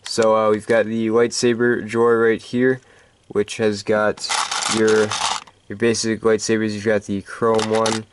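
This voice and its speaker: A man with a congested voice giving a casual, halting, unscripted show-and-tell of a collection. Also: fairly low pitch, completely flat delivery, noisy background. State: stuffed-up, congested voice. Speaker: hobby collector hosting a video.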